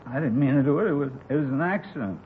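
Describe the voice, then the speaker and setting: A man's voice: mid-range voice, animated, quick pace, natural voice. Petty crook played by a male actor, American, tipsy and rattled, rambling self-defence, vintage radio crime drama with phone-like audio. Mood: panicked pleading. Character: nervy, slightly drunk petty crook, defensive then pleading. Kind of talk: 1950s radio drama acting. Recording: noisy narrowband 1950s radio recording.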